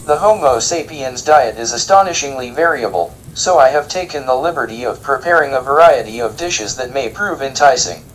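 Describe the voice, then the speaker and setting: A man's voice: mid-range voice, animated, brisk, hardly any pauses, a bit bright, faint music behind, natural voice, poor audio. A man playing a pedantic, literal-minded alien food expert in an audio drama, speaking formally and precisely. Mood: earnest, pedantic. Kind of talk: scripted audio drama dialogue.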